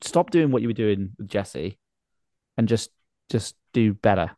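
A man's voice: lowish voice, expressive, measured pace, warm tone, no room sound. A man hosting a football podcast in casual, wry, self-deprecating banter. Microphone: close podcast mic on a video call.

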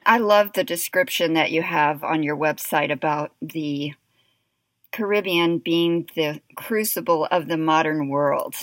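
A woman with a fairly low voice hosts a radio interview in a dry studio. She reads a scripted introduction and asks warm, appreciative questions. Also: measured pace, dry recording.

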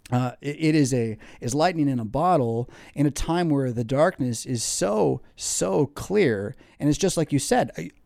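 A man who is a pastor co-hosting a podcast, rambling and thinking out loud in a casual theological discussion. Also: mid-range voice, no echo, clean studio sound.

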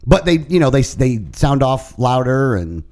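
A man co-hosting a talk show, telling stories in casual banter with lively energy. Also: mid-range voice, moderate pace. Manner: lively, animated storyteller. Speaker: co-host.

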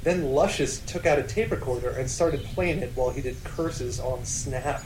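A man's voice: medium pitch, normal speed, a little mellow, a little hiss or hum, rough recording. A man co-hosting a podcast, reading fan fiction dramatically and bantering casually, often amused as he goes. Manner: often breaking into laughter while reading. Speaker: podcast co-host.

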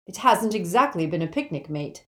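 Woman doing loyal teen boy sidekick in audiobook, blunt, earnest, varied pitch. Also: expressive, moderate pace, a bit bright. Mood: defensive. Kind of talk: audiobook character voice.

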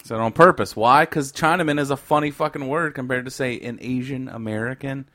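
A man's voice: mid-range voice, brisk, bright, forceful tone. A man co-hosting a comedy podcast, talking in casual, crude banter and swearing freely. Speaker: podcast co-host.

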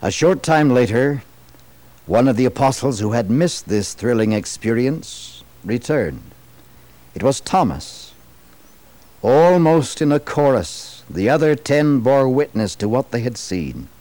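A man narrating a dramatized 1960s religious radio program in a solemn, measured, theatrical storytelling manner. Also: medium pitch, big pitch swings, warm tone.

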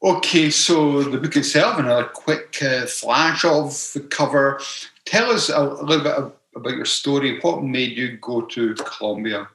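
A man's voice: medium pitch, normal speed, fairly bright tone, echoey room, rough recording. A man interviewing a guest, asking follow-up questions with a few restarts. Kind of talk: conversational interview.

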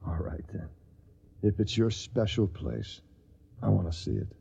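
An actor playing a guarded, gentle young Yankee stranger in a radio drama, speaking hesitantly in a hushed, creaky voice. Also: male voice, on the low side, big pitch swings, unhurried, heavily acted. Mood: tender. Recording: muffled radio tape.

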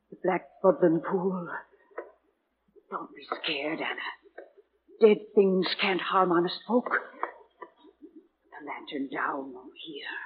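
Old housekeeper: superstitious, secretive, theatrical, unhurried. Vintage radio mystery with faint hiss. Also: female voice, low voice. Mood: fearful, muttering. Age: older. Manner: hushed, ominous, dramatic delivery. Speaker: actress in radio mystery drama. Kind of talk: 1940s radio acting. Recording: muffled, noisy 1940s radio recording.

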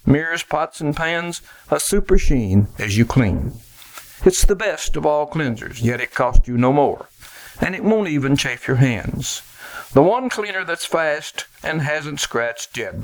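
A man's voice: fairly high pitch, low-quality recording. A man as a lighter-voiced vintage radio announcer. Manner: polished, salesmanlike. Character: earnest male sponsor announcer of vintage radio. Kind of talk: 1940s radio commercial announcing.

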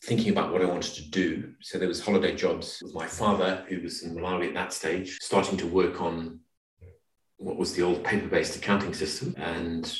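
A middle-aged man from Zimbabwe giving measured, slightly hesitant explanations as a guest in a conversational interview. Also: deep voice. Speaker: podcast guest. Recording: roomy, distant microphone.

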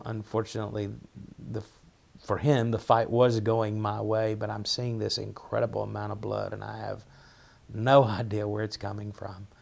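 A middle-aged man with an Appalachian accent, telling personal stories as a guest in an interview, halting and reflective, often repeating words. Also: on the low side, creaky, darkish tone. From southwest Virginia, United States. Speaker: pastor, podcast guest.

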